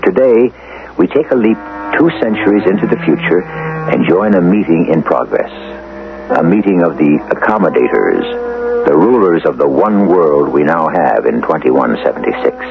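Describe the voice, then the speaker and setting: Very deep-voiced solemn male radio narrator. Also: big pitch swings, normal speed, gravelly. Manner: solemn, portentous. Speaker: radio drama host and narrator. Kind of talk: dramatic scripted narration. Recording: noisy narrow-band old radio recording.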